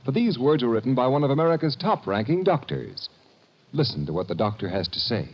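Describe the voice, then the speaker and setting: A man's voice: mid-range voice, big pitch swings, brisk. A male announcer earnestly reading a scripted cigarette ad on old radio. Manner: earnest, persuasive. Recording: dull old radio broadcast.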